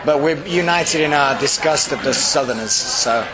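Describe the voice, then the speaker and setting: A man's voice: a little high, normal speed, poor audio. A man with a faint Yorkshire accent, an actor chatting casually in an interview, often restarting his sentences. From Yorkshire, England. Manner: chatty, frequent restarts and fillers. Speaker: actor, guest.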